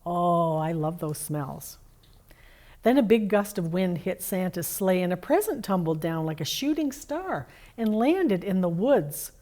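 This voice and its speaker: A woman's voice: fairly low pitch, lively intonation, unhurried, mellow. A woman playing Santa's kindly, homely wife as a children's holiday storyteller. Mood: storytelling.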